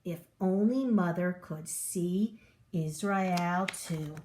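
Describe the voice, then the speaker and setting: A woman's voice: fairly low pitch, takes it slowly, mellow, roomy sound, slight background noise, voice pushed down. A woman voicing a small young boy spider in a storybook, curious and wistful, with a childlike, wondering manner. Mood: awed, wistful.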